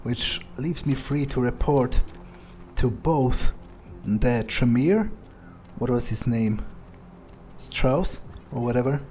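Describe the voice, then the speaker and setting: A man role-playing a cocky, newly turned young vampire in a video game, musing casually and sardonically with hesitant pauses. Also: medium pitch, a little mellow, slight background noise, natural voice. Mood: sardonic musing. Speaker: gamer role-playing his character. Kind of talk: in-character video game playthrough, improvised musing.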